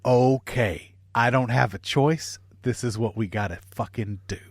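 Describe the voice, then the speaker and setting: A man's voice: mid-range voice, unhurried, dry recording, clean studio sound. A man casually telling a long comic story, swearing freely and acting out bits of dialogue. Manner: profane, acts out quoted dialogue. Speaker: comedy podcast storyteller.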